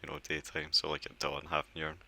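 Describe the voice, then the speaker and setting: A man giving a relaxed step-by-step software setup walkthrough, explaining as he clicks through with occasional fillers. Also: very deep voice, quick pace, creaky. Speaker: software tutorial presenter. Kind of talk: screen-recorded software walkthrough.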